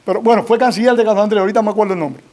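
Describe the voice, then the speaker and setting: High-voiced Cuban man, measured and firm. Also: darkish tone. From Cuba. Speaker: author presenting his book. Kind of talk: answering audience questions at a book presentation.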